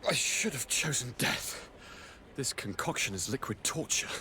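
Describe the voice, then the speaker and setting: An actor playing a theatrical, pompous and enthusiastic young noble governor in a video game, with flowery speech. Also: male voice, mid-range voice, expressive, a little slow, pushed and bright, a little hiss or hum. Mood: wry disgust.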